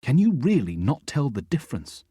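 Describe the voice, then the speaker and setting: A man's voice: mid-range voice, wildly swooping pitch, moderate pace, slightly rough, no room sound, voice pushed up, full character voice. A male audiobook reader voicing a guarded, blunt middle-aged father who speaks with dry sarcasm. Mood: stern. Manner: dry, sarcastic, then softening.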